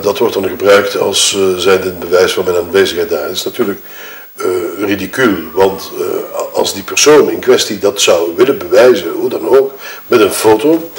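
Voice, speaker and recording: A man acts out a wronged Flemish husband in an audio drama, justifying himself at length. His voice sits on the low side and rings in a roomy space. He picks formal words, hesitates and corrects himself as he testifies. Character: wronged, self-justifying widowed husband giving his statement. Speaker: actor in an audio murder-mystery game. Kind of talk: acted improvised-sounding testimony. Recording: roomy echo.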